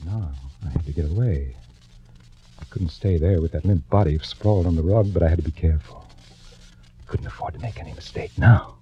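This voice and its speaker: Actor as nervy American swindler, low voice. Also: male voice, brisk, muffled recording, natural voice. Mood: panicked. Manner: tense, dramatic, confessional. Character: desperate, nervy male swindler turned killer, confessing. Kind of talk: 1940s radio thriller acting, first-person narration. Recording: old band-limited radio recording with music.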